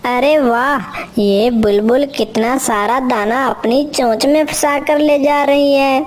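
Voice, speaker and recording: A woman voicing a lazy, scheming male crow in a children's cartoon, with a coaxing, deliberate delivery. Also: high-pitched, a little hiss or hum, pitched above the performer's own voice. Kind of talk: Hindi children's cartoon voice acting.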